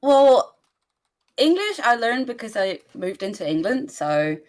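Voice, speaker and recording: A young woman from Bulgaria with a Bulgarian accent, a player giving a relaxed post-game interview and laughing lightly between answers. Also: fairly high pitch, measured pace. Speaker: esports player guest.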